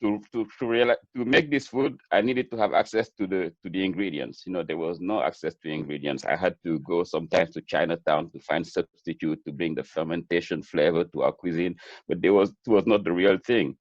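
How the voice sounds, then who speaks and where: A man's voice: sits low, brisk, no echo, lo-fi sound. A middle-aged man with a Senegalese accent gives an earnest, reflective interview answer, repeating words as he builds his points. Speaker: webinar guest, chef and food entrepreneur.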